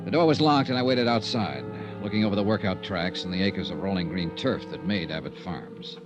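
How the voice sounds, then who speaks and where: An American actor playing a self-assured, persistent insurance investigator in a 1950s radio drama, speaking in a clipped, dry, probing way. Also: male voice, low-pitched, brisk, highs cut off, music in the background, natural voice. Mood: dry, narrating. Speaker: lead actor and narrator. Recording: noisy vintage radio recording.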